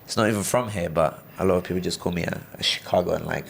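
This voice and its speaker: A man's voice: sits low, fast, vocal fry, slight background noise. A young man from Brazil, with a British-tinged accent, chatting casually as a podcast guest, rambling with lots of fillers and restarts. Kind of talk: casual interview chat.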